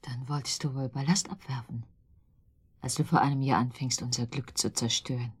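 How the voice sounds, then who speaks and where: An actress playing a cold, resolute wife plotting murder in a German radio drama, intense and decisive, with a breathy, husky voice that often drops to a whisper. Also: female voice, rumbling low, steady tone, moderate pace, full character voice. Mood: hard, defensive.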